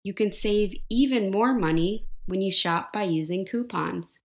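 A woman reads a life skills lesson as a voice-over, with a steady, medium-pitched voice. She lays out each step slowly and clearly, in simple words, and now and then asks the listener a question. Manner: slow, clear, simple explanations with questions to the viewer. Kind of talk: scripted educational narration.

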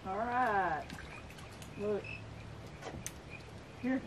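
A middle-aged woman with a Southern US accent, chatting excitedly while fishing from a pond dock, recorded outdoors on a camera. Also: medium pitch, extremely slow, heavy background noise. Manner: chatty, excited running commentary. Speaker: homestead channel host. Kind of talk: casual chat while fishing.

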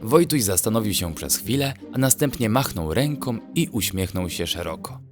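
A man narrating a children's bedtime story in an expressive storytelling manner. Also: fairly low pitch, moderate pace, over music.

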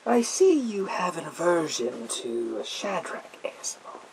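A man voicing a menacing psychic villain in a gas mask, in a theatrical, drawn-out ASMR roleplay whisper with a creaky edge. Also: high voice, very animated, a little hiss or hum, exaggerated voice, low-quality recording. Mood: probing, sly. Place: small indoor room with bookshelves.

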